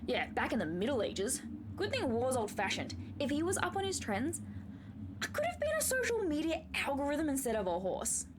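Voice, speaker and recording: A woman's voice: high voice, wildly swooping pitch, moderate pace, very noisy. A woman playing a fierce, sardonic younger warhorse in a comic radio play, opinionated and argumentative.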